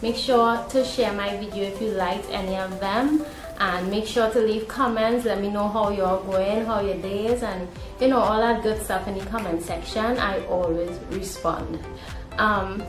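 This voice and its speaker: A woman's voice: medium pitch, fairly even intonation, measured pace. A young woman with a Trinidadian accent, chatting informally to camera as a vlogger. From Trinidad, born in Guyana. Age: young adult. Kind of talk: casual talking-to-camera vlog. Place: indoor room at home. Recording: roomy echo, music behind. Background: background music.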